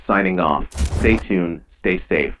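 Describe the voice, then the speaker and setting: A man playing a grave, measured emergency announcer in an eerie analog horror broadcast, with dull, lo-fi old radio sound. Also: sits low, a bit bright, slight background noise. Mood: calm, foreboding. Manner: measured, solemn, ominous.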